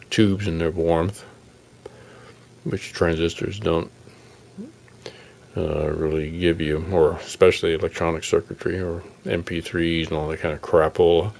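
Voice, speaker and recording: A man's voice: low voice, level tone, moderate pace, vocal fry, pauses often, a little mellow. A man giving a casual, unscripted demonstration as a hobbyist, musing and plain-spoken with hesitations. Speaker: radio restorer presenting his work; college-trained audio engineer. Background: tube radio playing at times.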